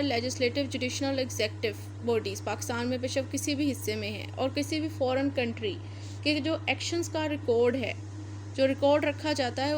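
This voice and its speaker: Female lecturer, Pakistani accent, high voice, teacherly explaining with repeated key points, slight noise behind. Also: a little mellow. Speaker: law lecturer. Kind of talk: explanatory lecture, reading clauses then explaining. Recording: faint background noise.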